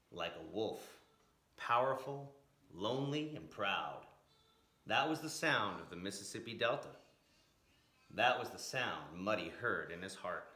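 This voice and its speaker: A man's voice: on the low side, sharp tone. A man reading a picture book aloud in a dramatic, emphatic way, drawing out key words. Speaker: storyteller, blues educator. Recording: echoey room.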